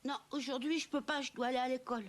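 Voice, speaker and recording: A woman's voice: high-pitched, steady tone, fast talker, close to an everyday voice. A woman voicing a mischievous, naive little wooden puppet boy in a children's cartoon, cheeky and childlike. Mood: naive, curious. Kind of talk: dubbed children's cartoon acting.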